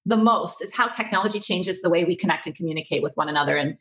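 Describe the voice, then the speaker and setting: A woman from Egypt giving conversational, animated answers as an interview guest. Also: lowish voice, fast talker. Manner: animated, expressive. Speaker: interview guest, tech company co-founder.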